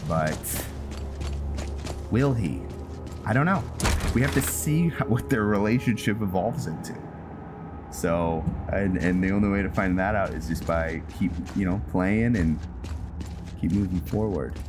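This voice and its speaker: A man giving casual roleplay game commentary, halting as he thinks aloud. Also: deep voice, background noise. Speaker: gameplay host and roleplayer. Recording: loud music behind the voice.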